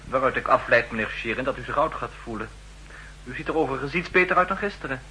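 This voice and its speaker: A man's voice: medium pitch, lively intonation, fast talker, pushed and bright, low-quality recording. An actor playing an aggressive younger male subordinate in a 1960s radio drama, curt and politely barbed. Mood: barbed, defiant. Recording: 1960s radio recording with hum and noise.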